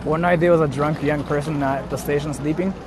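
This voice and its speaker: High-voiced young Japanese man streaming outdoors. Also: steady tone, fairly quick. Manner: repeats himself, informal, swears freely. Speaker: live streamer. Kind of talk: casual live-stream chat, answering viewer comments. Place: outdoors on a busy city street at night. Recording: street noise, traffic and crowd.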